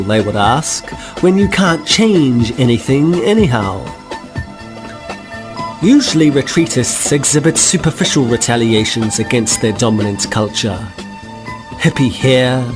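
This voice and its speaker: A man giving measured, dryly ironic narration in a lecturing tone, as the voice of a guidebook in a comic radio drama. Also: medium pitch, close to an everyday voice. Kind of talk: scripted dry narration.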